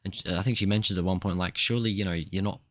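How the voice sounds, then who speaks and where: A man with a voice croaky from a recent cold, giving a casual, rambling spoken review with plenty of fillers and restarts. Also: low-pitched, fairly even intonation, very fast, a bit bright, dry recording.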